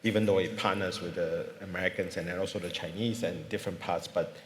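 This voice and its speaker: Low-voiced man in an echoey hall. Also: steady tone, fast, slight background noise. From Singapore. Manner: thoughtful, many fillers and restarts. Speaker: panelist. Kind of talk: panel discussion. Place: large conference hall.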